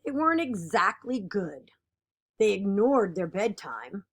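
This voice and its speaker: A woman voicing an earnest, dutiful egg from a children's picture book, with expressive and deliberate storytelling. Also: mid-range voice.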